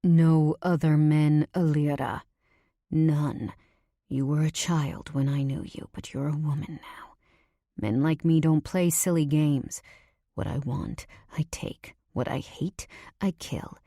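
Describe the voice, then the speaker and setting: A woman's voice: fairly even intonation, takes it slowly, no echo, clean studio sound, heavily acted. Woman putting on Nico, a menacing possessive man. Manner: low hushed half-whisper, threatening.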